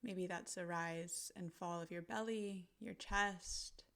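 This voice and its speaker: A woman narrating a guided visualization in a gentle, soothing manner, pausing deliberately between short phrases. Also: on the low side, steady tone.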